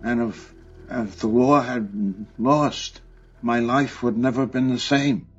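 An older man, a war veteran, recalling his youth in a slow, halting, reflective interview. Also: mid-range voice, fairly even intonation, breathy edge, soft background music. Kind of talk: archival interview recollection. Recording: dull lo-fi archival recording.

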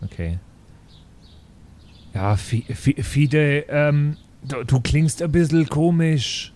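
A man acts out an earnest schoolteacher sniffing out something odd in a role-play audio drama. He speaks slightly high, hesitating and rephrasing as he puzzles things out colloquially. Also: slow pace, darkish tone. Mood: puzzled. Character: earnest, flustered, suspicious male schoolteacher amateur sleuth.

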